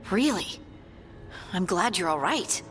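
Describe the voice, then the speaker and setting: A woman's voice: lowish voice, lively intonation, slow, fairly bright tone, faint music behind. A woman voicing an earnest, headstrong scout in a video game, determined and urgent in her delivery. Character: earnest, headstrong female scout, impatient to act. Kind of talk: video game dialogue acting.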